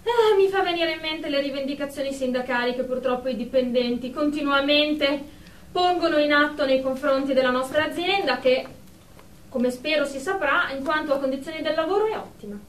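A woman's voice: high-pitched, a little fast, natural voice. An actress playing a haughty, touchy female executive in her thirties, a prim and defensive patient in a radio drama. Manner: prim, self-important, defensive. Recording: distant, echoey room with faint noise.

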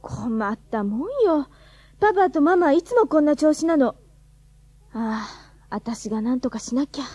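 A woman playing a plucky, cheeky high-school girl with worries, in a Japanese radio drama. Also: expressive, normal speed, darkish tone, breathy edge, no echo. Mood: wry narrating.

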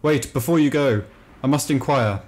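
Man, mid-pitched, playing a courteous dwarf in a friends' role-play session, over a headset mic. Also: moderate pace. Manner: mock-formal, polite, laughs readily. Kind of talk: in-character role-play and casual commentary.

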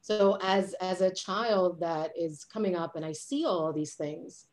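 A woman from Puerto Rico in her forties talks with lively hand gestures over a video call from a dim home office. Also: on the low side, unhurried. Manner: animated, gestures a lot with her hands. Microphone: webcam on a video call.

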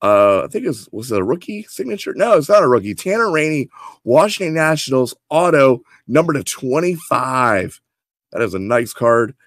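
A man in his forties, hoarse and losing his voice from a cold, eagerly presents trading cards to a webcam in his home office. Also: medium pitch, sing-song, normal speed, no echo, studio quality. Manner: enthusiastic salesman, showing cards to camera. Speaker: card subscription box host. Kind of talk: product preview for subscribers. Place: home office room with shelves. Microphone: webcam at desk.

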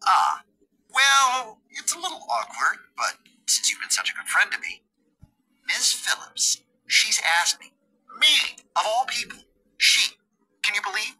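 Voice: medium pitch, wildly swooping pitch, a little slow, sharp tone, slightly rough, heavily acted, rough recording. An actor voicing a bumbling, nervous middle-aged male teacher in a video game cutscene, who stammers and gets flustered.

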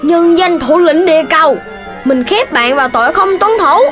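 Boastful, high-voiced cartoon puppy boy. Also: normal speed, music in the background. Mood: gloating. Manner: exclaiming, showing off, whiny when thwarted. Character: boastful, dreamy little boy puppy, cheeky and excitable. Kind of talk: children's cartoon dubbing in Vietnamese.

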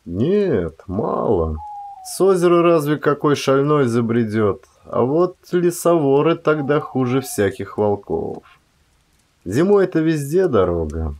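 A man's voice: mid-range voice, big pitch swings, unhurried, a little mellow, pitched above the performer's own voice. A man playing a seasoned forest warden in an audiobook, folksy and grumbling, with a drawling way of talking. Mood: musing, grumbling.

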